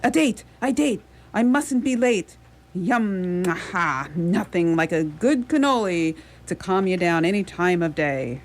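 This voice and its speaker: Radio play role: lonely, jittery superhero cosplayer played by a woman, lower-pitched, theatrical and dithering. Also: lively intonation, unhurried. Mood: giddy, excited. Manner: theatrical, dithering, self-questioning. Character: lonely, nervous young superhero cosplayer reciting poetry on a rooftop. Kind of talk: community radio theatre acting.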